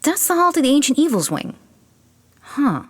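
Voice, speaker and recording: An actress playing an anxious, sardonic young aspiring beastmaster in an audio drama, halting and nervous. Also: female voice, high-pitched, sing-song, in a large hall. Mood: wry. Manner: nervous, halting, bossy with sarcasm. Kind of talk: comedic audio drama acting.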